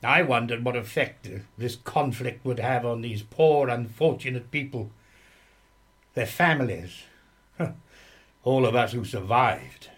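A man's voice: medium pitch, slow, long pauses, a bit bright. A man playing a sombre soldier who recalls suffering comrades, narrating dramatically in a radio play. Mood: sorrowful.

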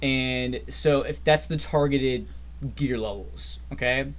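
A man's voice: mid-range voice, slow pace. A man co-hosting a podcast, explaining game patch changes in a loose, rambling way and often trailing off. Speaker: podcast co-host. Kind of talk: casual gaming chat.

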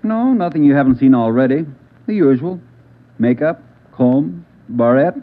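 A man's voice: medium pitch, animated, a little slow. A man playing a dry, laconic police lab technician in 1950s radio drama dialogue. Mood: matter-of-fact. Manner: wry, laconic. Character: dry, matter-of-fact male police crime lab technician. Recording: muffled old radio recording.

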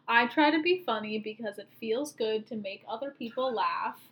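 A young woman co-hosts a loose, casual podcast, circling her points with a steady stream of filler words. Her voice sits a touch higher than most women's. She thinks out loud rather than speaking in finished sentences. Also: moderate pace, low-quality recording. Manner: rambling, heavy on filler "like", thinks aloud. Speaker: podcast co-host.

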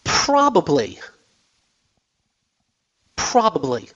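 Hoarse radio host man, dull lo-fi sound. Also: on the high side, huge pitch swings, drawn-out words, a bit bright. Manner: chatty, repeats himself, many fillers. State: losing his voice. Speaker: host of a finance radio show. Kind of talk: casual radio market talk.

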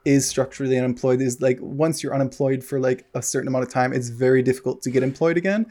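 A man's voice: mid-range voice, fairly even intonation, fast talker, warm tone. An American man co-hosting a casual podcast, rambling with lots of fillers and restarts. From United States. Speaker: podcast co-host.

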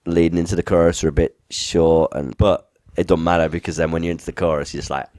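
A young adult man co-hosting a casual podcast, his voice croaky from being unwell. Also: sits low, steady tone, fast talker, a little mellow, dry recording, clean studio sound. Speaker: podcast co-host. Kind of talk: casual chat.

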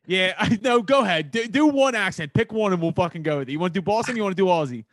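A man's voice: sits high, expressive, fast talker, dry recording. A man hosting a podcast in casual, profane, slangy chat. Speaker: podcast host.

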